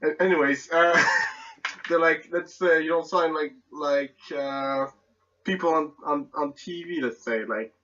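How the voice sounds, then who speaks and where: A young man chats casually on a podcast over dull, lo-fi audio. His voice is bright and forward, and he talks hesitantly, repeating words as he goes. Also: a little high, measured pace. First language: Spanish and French. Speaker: podcast guest.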